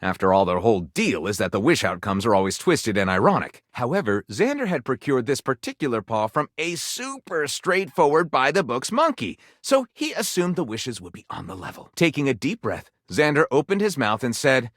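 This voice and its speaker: A man's voice: mid-range voice, very animated, normal speed, few pauses, a bit bright, no echo, clean studio sound. A man playing a flamboyant, pompous narrator of pulpy detective romance in a game, delivering it theatrically and tongue-in-cheek. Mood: wry, excited.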